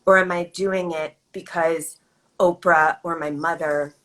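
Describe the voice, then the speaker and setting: A woman hosting a live video chat, thoughtful and measured, asking her guest questions. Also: fairly low pitch, steady tone, fairly bright tone. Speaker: host. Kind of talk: casual live-stream interview.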